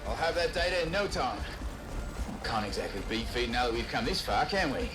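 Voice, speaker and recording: A man's voice: medium pitch, lively intonation, brisk, lightly acted. A man voicing a cocky, wisecracking rogue fighter in a video game, with a jaunty, folksy, teasing way of talking. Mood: upbeat, busy. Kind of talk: video game character dialogue. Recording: voice under loud game music. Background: loud game music.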